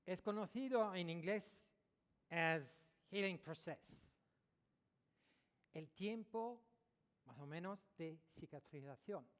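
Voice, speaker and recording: Slow, halting non-native lecturer in a hall. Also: male voice, high-pitched, lively intonation. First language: French or Italian, not Spanish. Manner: halting non-native Spanish, searching for words and self-correcting. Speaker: dentist giving a conference talk. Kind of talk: conference lecture. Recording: echoey hall recording.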